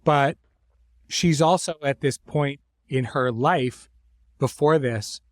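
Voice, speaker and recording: A man hosting a conversational interview, speaking thoughtfully in phrases broken by pauses and restarts. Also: mid-range voice, dry recording. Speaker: host, series creator.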